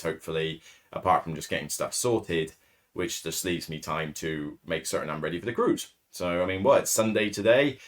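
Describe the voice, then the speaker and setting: A man with a raspy, hoarse voice, hosting a solo podcast in casual, rambling talk full of fillers and self-corrections. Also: low-pitched. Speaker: podcast host. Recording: distorted, too-loud mic.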